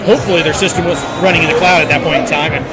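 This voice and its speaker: Loud crowd noise and music surround a man with a high-pitched voice. He tells a casual story in an informal, conversational style, acting out both sides of exchanges. It sounds recorded out in a busy public place. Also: fairly even intonation, racing, low-quality recording. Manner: acts out dialogue, informal. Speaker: podcast co-host telling an anecdote. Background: loud crowd chatter and music.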